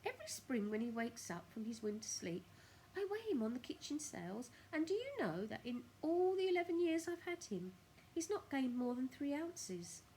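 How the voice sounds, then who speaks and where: Storybook widow, acted by a woman: high, very animated, gushing, affectionate, drawn-out words. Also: slight background noise, rough recording. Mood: fond, gushing. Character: sweet, gushing widow doting on her tortoise. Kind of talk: children's storybook reading.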